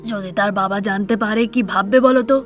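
A woman's voice: medium pitch, fairly quick, faint music behind, lightly acted. A woman voicing a kindly older father-in-law in a cartoon tale, concerned and questioning. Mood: worried. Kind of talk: cartoon fairy-tale character acting.

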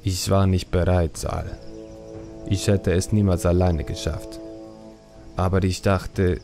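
A man voicing an earnest, thoughtful young male troll in a fantasy audiobook, serious and measured. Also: low voice, flat delivery, warm tone, soft background music. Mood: troubled confiding. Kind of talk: audiobook character acting.

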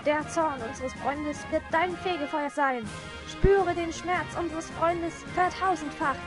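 A woman's voice: high voice, a little slow. A woman playing a cocky, hot-headed young male thief in an amateur audio drama. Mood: heroic, defiant. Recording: loud music under the voice.

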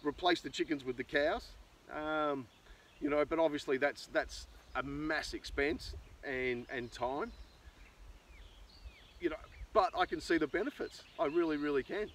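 A man's voice: on the high side, unhurried, a bit bright. A middle-aged Australian man talking casually and unscripted, thinking aloud as a farmer making a vlog in an open field. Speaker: farmer and video host. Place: outdoors in an open rural pasture. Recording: distant outdoor pickup with ambient noise.

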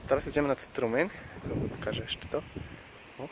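A man with a medium-pitched voice talks casually as a travel vlogger, showing things as he goes. He speaks informally and haltingly, looking for words. Very loud wind and water noise surrounds him outdoors. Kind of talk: casual vlog commentary while showing sights. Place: outdoors by a lake and stream.

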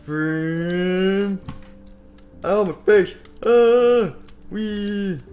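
A man's voice: extremely high pitch, takes it slowly, muffled recording, voice pushed up, exaggerated voice. A man voicing a silly, whiny talking car in an improvised game story. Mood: giddy, yelping.

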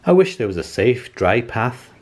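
A man's voice: mid-range voice, big pitch swings, normal speed, a little mellow. A man voicing a woebegone storybook dog with a plaintive, complaining manner. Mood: upset, plaintive. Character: woebegone dog stranded by a muddy bog.

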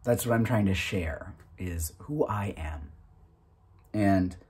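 A man in his thirties hosting his own channel, talking casually and a little self-consciously in a halting, informal way. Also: low voice, darkish tone, slightly rough. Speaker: host of a reading channel. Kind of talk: casual, unscripted chat to camera.

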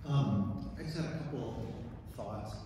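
A man's voice: medium pitch, unhurried. A man in the audience offering a hesitant academic comment full of ums in a conference room. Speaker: audience member. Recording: echoey hall from far off, clear noise.

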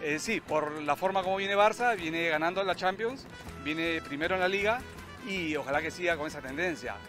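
A man's voice: a little high, normal speed, over music. A man, a football fan, answering casually in an outdoor street interview.

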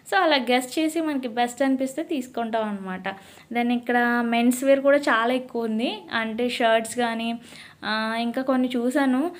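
A woman with a Telugu accent, chatting casually to viewers as a shopping vlogger, mixing in English words. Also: a little high. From India. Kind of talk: casual shopping vlog voice-over.

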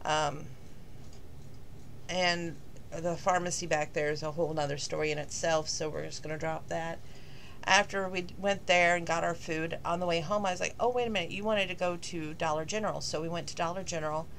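A middle-aged woman, slightly hoarse from losing her voice, rambling casually in a talking-to-camera vlog with lots of fillers. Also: on the low side, fairly even intonation, brisk, a bit bright, a little hiss or hum. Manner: rambling, chatty, with many fillers. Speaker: vlogger.

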